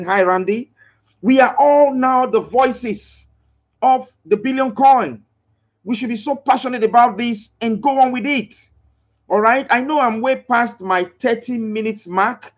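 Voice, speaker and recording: A man hosting a motivational live broadcast, preaching with emphatic repeated phrases and stopping to read out and answer viewers' comments. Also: high voice. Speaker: live broadcaster promoting a crypto scheme.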